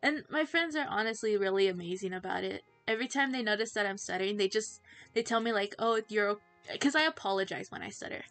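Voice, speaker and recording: Casting note: teen girl, gaming livestream, chatty and giggly, medium pitch, soft music bed. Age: teens. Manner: chatty, giggly, self-distracted, quick to laugh. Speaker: streamer. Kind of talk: casual livestream chat while gaming.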